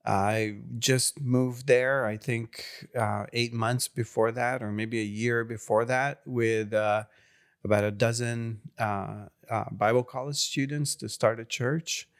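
Slow, hesitant Hungarian-accented man. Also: medium pitch, fairly even intonation, clear, resonant tone. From Serbia. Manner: halting, many fillers and restarts. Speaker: pastor. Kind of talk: interview conversation.

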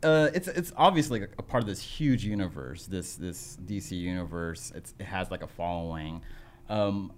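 A man's voice: low voice, animated, moderate pace, darkish tone, slight background noise. A man co-hosting an interview, casual and joking, asking rambling questions. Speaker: podcast co-host.

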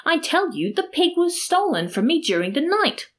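A woman voicing a distraught, gullible man in a storybook, protesting with loud wails. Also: a little high, sing-song, brisk, pitched above the performer's own voice. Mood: indignant, despairing. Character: mean, gullible, distraught man robbed of his pig.

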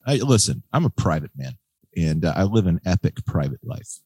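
An American man hosting a podcast in casual, slangy chat, with a husky voice and a laid-back manner. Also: deep voice, sing-song, normal speed, warm tone, no room sound. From United States. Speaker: podcast host. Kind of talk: casual chat about motor racing.

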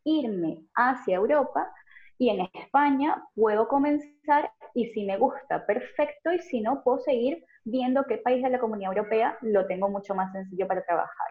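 A woman's voice: on the high side, moderate pace. Venezuelan woman on a tinny call. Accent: Venezuelan Spanish. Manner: fluent, lively storytelling with laughs. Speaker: guest, pharmacist. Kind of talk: conversational interview. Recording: narrow phone-like video call audio.

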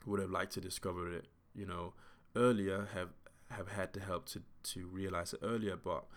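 A man's voice: deep voice, steady tone, moderate pace. A man in his twenties hosting a solo podcast, talking in an earnest, reflective way with many fillers and restarts. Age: 20s. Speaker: podcast host.

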